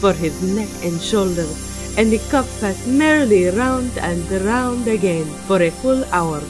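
A woman's voice: medium pitch, animated, unhurried. Woman narrating an old literary tale. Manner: archaic storybook phrasing. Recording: music playing under the voice.

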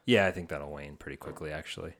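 A man guesting on a casual podcast, with a low voice, talking haltingly with many restarts. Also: steady tone, gravelly, a bit bright. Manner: halting, restarts often, self-conscious. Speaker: guest co-host.

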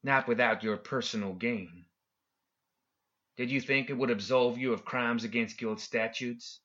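A man's voice: mid-range voice, steady tone, unhurried, a bit bright, muffled recording, close to an everyday voice. A man voicing a stern, stoic woman of the law in an audiobook, blunt and accusing. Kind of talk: audiobook character acting.

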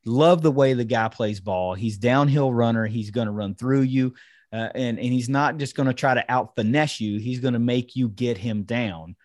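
A man's voice: medium pitch, moderate pace, a little mellow, no echo. Man talking football in a dry studio sound. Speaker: sports podcast host. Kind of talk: casual football analysis chat.

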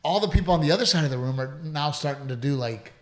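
A man's voice: on the high side, animated, fast talker. A male comedian and podcast host in casual chat, telling a long, rambling story with lots of restarts and swearing.

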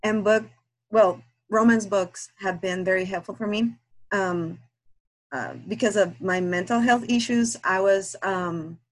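Woman, soft-spoken, halting, frequent pauses and fillers, casual podcast guest chat, mid-pitched voice. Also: low-quality recording. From Guatemala. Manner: hesitant, many fillers and restarts. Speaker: guest listener and aspiring romance author.

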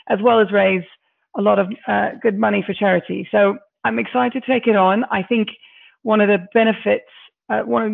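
Brief: hoarse woman, gravelly and raspy, keen but halting, phone-quality audio. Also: mid-range voice. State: hoarse, losing her voice. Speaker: incoming charity chair. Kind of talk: remote interview answer.

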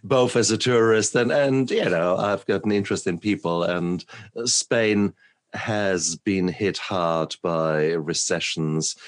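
Deep-voiced enthusiastic male podcast host. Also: slow pace. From Germany. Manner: enthusiastic, laughs often. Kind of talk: conversational interview.

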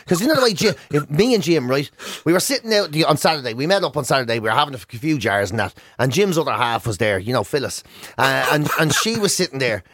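A man's voice: mid-range voice, lively intonation, fast talker, a bit bright, dry recording, studio quality. An Irish man hosting a radio show, telling comic stories in casual banter and acting out imagined dialogue. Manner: acts out imagined dialogue in anecdotes. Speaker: radio and podcast host.

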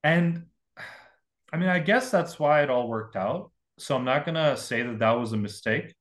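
A young man from Russia tells stories on a podcast in a loose, rambling way. He mocks himself freely and talks it all out in a candid, casual voice at an everyday pitch. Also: lively intonation, moderate pace, fairly bright tone. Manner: rambling, candid, self-mocking storytelling. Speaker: painter guest.

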